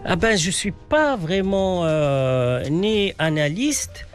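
Hesitant male playwright, Arabic native speaker, slightly high voice, soft music under him. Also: big pitch swings, slow. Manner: hesitant, many fillers and restarts. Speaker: playwright guest. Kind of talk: casual interview answers.

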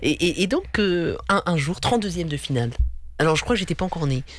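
A man from Normandy hosting a lively radio football talk show, chatty and often swooping up in pitch. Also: fast talker, no room sound. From Normandy, France. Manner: chatty, reads out listener messages, swoops up in pitch. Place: radio studio.

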